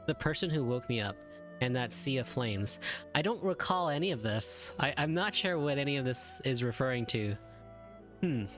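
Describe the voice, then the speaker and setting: A woman's voice: a bass voice, expressive, normal speed. A woman giving casual gameplay commentary as she reacts to cutscenes, informal and thinking aloud. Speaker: gaming streamer reacting to cutscenes. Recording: faint game music behind the voice. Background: game music.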